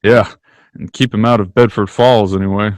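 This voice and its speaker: An actor playing an earnest, frustrated small-town young man in old-time radio style, folksy and halting. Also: fairly low pitch, steady tone, no echo, studio quality, natural voice. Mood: wry, resigned.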